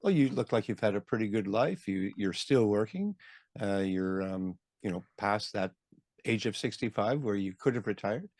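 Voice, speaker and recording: Low-voiced man, dry close sound. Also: lively intonation, moderate pace, darkish tone, no room sound. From Montreal, Canada. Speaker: interviewer, host. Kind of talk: casual conversational interviewing.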